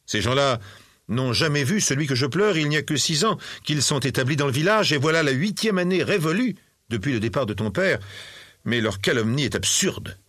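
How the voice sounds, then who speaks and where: Man voicing a proud, indignant young mother, husky. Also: fairly low pitch, lively intonation, brisk, hardly any pauses, fairly bright tone, no room sound, studio quality. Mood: wounded indignation. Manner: emotional, emphatic.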